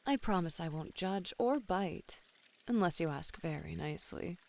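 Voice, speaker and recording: A woman playing a flirty, playful bunny woman in an ASMR roleplay, teasing and coy with soft sighs. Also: lowish voice, animated, normal speed, vocal fry. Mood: flirty, welcoming. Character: flirty, playful bunny woman, a model relaxing in a hot spring. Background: pouring, trickling water.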